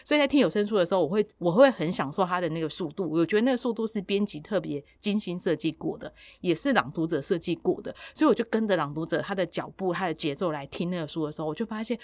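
Quick-talking, expressive Taiwanese woman. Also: fairly low pitch, no room sound. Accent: Taiwanese Mandarin. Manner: animated, expressive storyteller with repetition for emphasis. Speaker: podcast host who works in audiobook production. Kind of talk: casual chat, storytelling.

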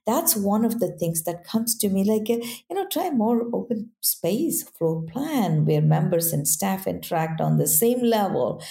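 A woman's voice: mid-range voice, animated, unhurried, vocal fry, few pauses, mellow. A woman speaking English with an accent, a guest in a casual interview who keeps checking in with "right?". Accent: non-native English accent. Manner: earnest, repeats "right?" to check in.

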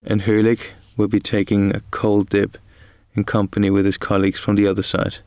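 An actor playing a proud young wartime fighter pilot, reading his logbook in a measured, restrained manner. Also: on the low side, completely flat delivery, darkish tone, smooth, no room sound, clean studio sound, natural voice. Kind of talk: dramatised diary reading.

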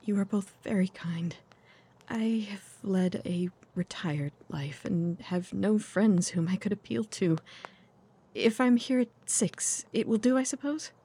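A woman acts a young gentlewoman in an audio drama with a mellow, breathy voice. She is reserved and courteous, speaking earnestly and formally as she seeks help. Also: medium pitch, unhurried. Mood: grateful, reserved. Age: young adult.

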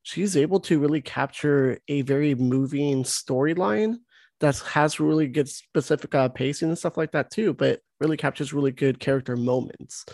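A man's voice: on the high side, moderate pace, darkish tone, no room sound, studio quality. A man hosting an interview, gushing about a film in rambling, casual speech full of "like". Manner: gushing, rambling, heavy use of "like". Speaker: interview host.

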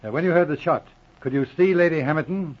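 An actor playing a calm, shrewd Mounted Police inspector, methodical, assured and probing, in 1940s radio drama style. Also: male voice, a little high, fast, natural voice. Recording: muffled old radio recording.

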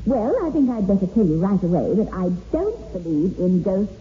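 A woman's voice: medium pitch, big pitch swings, normal speed, narrow, tinny audio, soft background music, natural voice. An actress playing a poised, sceptical young woman writer in a 1960s radio horror drama, well-mannered and theatrical. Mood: polite, firm. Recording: thin, hissy old radio recording.

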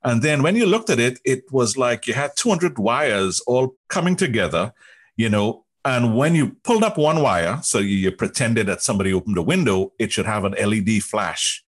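A man with a Trinidadian accent and a husky voice, explaining emphatically in conversation as an interview guest, often posing rhetorical questions. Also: medium pitch, lively intonation, moderate pace. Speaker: interview guest, technology executive.

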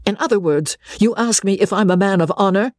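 A man voicing a hot-headed, haughty young army officer in a storybook reading, with old-fashioned gentlemanly speech. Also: medium pitch, very animated, measured pace, breathy edge, no room sound, exaggerated voice. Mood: indignant.

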